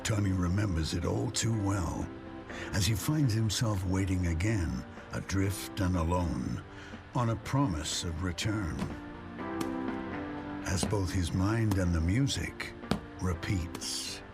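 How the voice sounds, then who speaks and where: A man narrating a scripted sci-fi audio drama in a grave, measured, solemn manner. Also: deep voice, loud music behind the voice.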